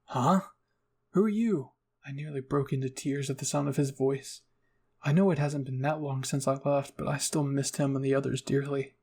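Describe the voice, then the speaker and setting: Husky, creaky man reading a story. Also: mid-range voice, completely flat delivery, fairly quick, warm tone. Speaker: fan fiction reader. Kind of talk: scripted first-person story narration, ASMR roleplay reading.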